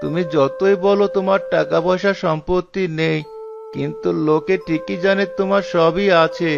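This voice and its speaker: A man voicing a frail old beggar in a Bengali cartoon, pleading and persuading in slow, drawn-out speech. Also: high voice, fairly even intonation, vocal fry, lo-fi sound, over music. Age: older.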